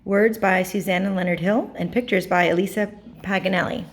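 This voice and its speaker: A woman reading a picture book aloud to children, narrating expressively with dramatic pauses and emphasis. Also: mid-range voice, steady tone. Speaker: storybook reader.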